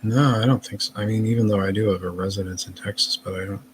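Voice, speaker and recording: Low male voice, guest on a stream, loose and rambling, faint noise. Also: fairly even intonation, fast talker. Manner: rambling, hesitant, many fillers. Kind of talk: casual chat on a live stream.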